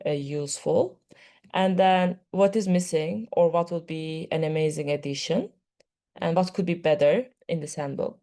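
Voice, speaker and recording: A woman's voice: low-pitched, mellow. A woman whose first language is Turkish, giving a conversational conference presentation with frequent fillers. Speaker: workshop presenter.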